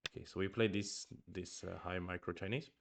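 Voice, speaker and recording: A man's voice: deep voice, steady tone, brisk, gravelly, slight background noise. A man streaming a review of his own go games, thinking aloud in a casual chat with murmured asides and restarts; his first language is Swedish.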